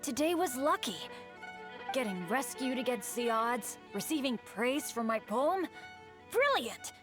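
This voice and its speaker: Excitable boy adventurer, very animated. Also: sits high, unhurried, music in the background. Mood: happy. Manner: excitable, boasting, eager. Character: earnest boy adventurer, upbeat, chronically unlucky. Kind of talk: English video game dub, animated.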